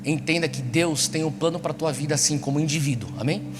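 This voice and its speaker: A man's voice: fairly high pitch, fairly quick, background noise. A Brazilian man preaching to a young congregation, exhorting them with repeated rhetorical questions. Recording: echoey large hall, music behind. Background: music.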